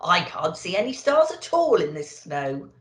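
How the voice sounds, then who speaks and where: A woman voicing a jolly old Santa with a booming, hearty manner in a storybook reading. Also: big pitch swings, unhurried, reverberant. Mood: blustering. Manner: chuckling, blustering.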